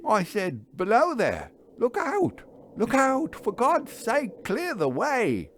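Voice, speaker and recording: A man's voice: very high voice, huge pitch swings, slow pace, fairly bright tone, slight background noise, pitched above the performer's own voice, exaggerated voice. A man voicing a distraught, deferential working train driver in a dramatic story reading, urgent and colloquial. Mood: distraught, pleading.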